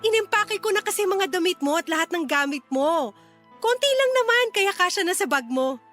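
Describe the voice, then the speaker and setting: A woman's voice: very high voice, animated, moderate pace, fairly bright tone, faint music behind. An actress with a Filipino accent playing a fed-up, fiery mother in an emphatic radio drama style. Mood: angry. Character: fed-up, fiery mother confronting her lying ex.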